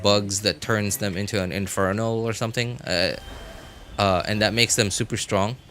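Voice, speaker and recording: Bright, low-voiced man streaming games. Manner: rambling, thinks aloud. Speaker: game streamer. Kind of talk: casual livestream gaming commentary. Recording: music behind the voice.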